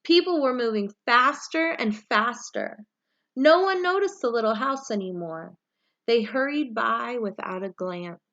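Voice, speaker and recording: High-voiced woman reading a picture book aloud. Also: expressive, measured pace, a bit bright, dull audio. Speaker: story reader, read-aloud for young children.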